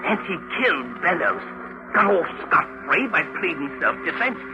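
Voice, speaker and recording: An actor playing a fussy, deferential ship's steward with an earnest manner in a 1940s radio mystery. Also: male voice, high voice, very animated, moderate pace. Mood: confiding. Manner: obedient, earnest, reporting. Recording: muffled old radio with music.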